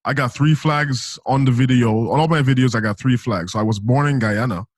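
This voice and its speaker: A man's voice: medium pitch, fairly quick, dry recording, clean studio sound. A man in his thirties from Saint Lucia, hosting a podcast in a laid-back, slangy chat. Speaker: podcast host. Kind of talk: casual chat.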